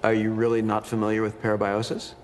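A man's voice: lowish voice, monotone, quick pace, a little mellow, a little hiss or hum, lightly acted. A man playing a smug transfusion enthusiast. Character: smug man boasting about blood transfusions. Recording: clip with roomy reverb and faint ambience.

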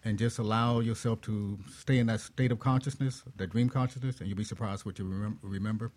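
A man's voice: on the low side, fairly even intonation, a little fast, a little mellow. A man giving conversational advice as a guest on a talk show.

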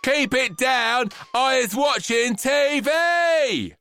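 A man voicing a spoilt girl who shouts and whines at everyone blocking her TV. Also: expressive, extremely slow, clear, resonant tone, faint music behind, exaggerated voice. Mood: shrieking. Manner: shrill, shouting, whiny. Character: spoilt bratty girl glued to the TV.

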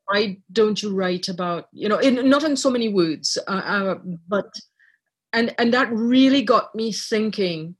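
A middle-aged woman from Trinidad, a writer and artist, talking reflectively in an interview with many pauses and restarts. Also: medium pitch, breathy edge. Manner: thoughtful, many fillers and restarts.

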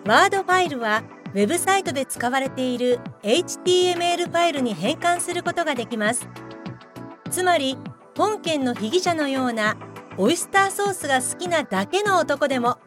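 A woman playing the formal, deadpan narrator of a comedy radio drama, explaining things in scripted style. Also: high voice, brisk, music in the background. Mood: matter-of-fact.

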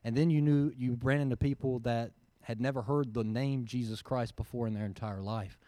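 A man's voice: mid-range voice, fairly even intonation, moderate pace, mellow, no room sound. A man giving an earnest personal testimony to a church audience, with plenty of ums along the way. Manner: earnest, storytelling, with frequent fillers. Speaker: mission team member giving a report.

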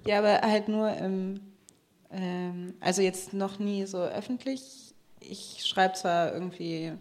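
A woman in a casual conversation, often pausing with fillers and restarting her sentences. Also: mid-range voice, a little mellow. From Germany. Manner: hesitant, many fillers and restarts.

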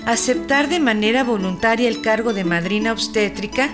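A woman's voice: on the high side, normal speed, close to an everyday voice. A woman with a Mexican accent playing a helpful, composed health hotline operator in a scripted radio drama, formal and explanatory. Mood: polite, informative. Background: guitar music.

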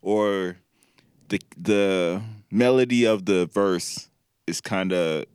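Laid-back low-voiced young man, rambling. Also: takes it slowly, a little mellow, smooth, dry recording, clean studio sound. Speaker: podcast co-host. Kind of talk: casual chat. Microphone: studio mic.

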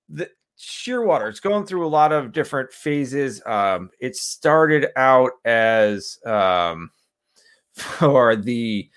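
A man's voice: medium pitch, a bit bright. A man hosting a podcast, chatting and joking with listeners and rambling into his questions. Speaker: podcast host. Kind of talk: casual interview.

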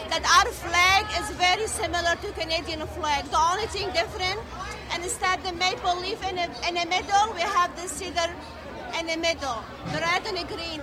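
A woman speaking proudly and carefully at an outdoor festival. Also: extremely high pitch. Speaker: festival interviewee.

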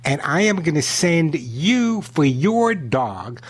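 A man hosting a call-in radio pet show, from New York, talking fast and repeating himself as he pitches products and gives advice. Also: very animated, slightly rough. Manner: rapid, repetitive sales pitch and chatty advice.

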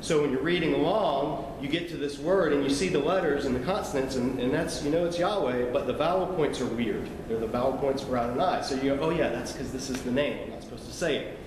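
A man explains a point of scripture in a chatty, teacherly sermon style and turns now and then to his listeners. His voice sits at an ordinary middle pitch. It rings out in a large hall with long reverb and clear background noise. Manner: conversational, explanatory, asides to listeners. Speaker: preacher. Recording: echoey hall, noisy ambience.

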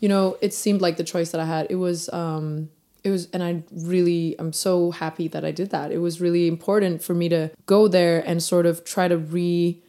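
A woman's voice: lowish voice, level tone, moderate pace, mellow. A young woman from Denmark, a rapper guest in a casual interview, chatty with many fillers and restarts.

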